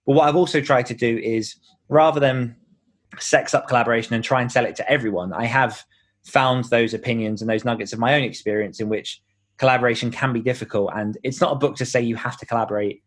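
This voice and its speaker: A man's voice: medium pitch, fairly even intonation, quick pace. A man speaking as an author guest in a conversational podcast interview, explaining things in an encouraging way.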